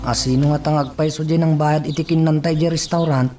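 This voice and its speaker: A man's voice: on the high side, steady tone, brisk, music in the background, natural voice. A man with an Ilocano accent playing a puzzled, questioning character in a radio drama.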